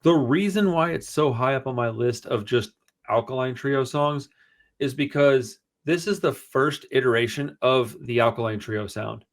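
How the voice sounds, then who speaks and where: A man with a booming voice co-hosting a casual music review show, talking in an animated, slangy, rambling way. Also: normal speed. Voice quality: booming, projecting voice. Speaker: podcast co-host.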